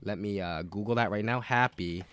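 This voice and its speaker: A podcast host who is sick with a cold and sounds nasal, talking in a loose, rambling, profane way with lots of fillers. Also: male voice, fairly low pitch, normal speed, a bit bright, clean tone. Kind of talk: casual rambling chat.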